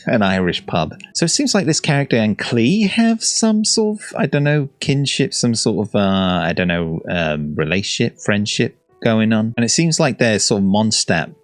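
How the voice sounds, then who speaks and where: A man's voice: low-pitched, no echo. A man hosting a casual, rambling reaction to game character trailers. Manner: rambling, easygoing, chuckling.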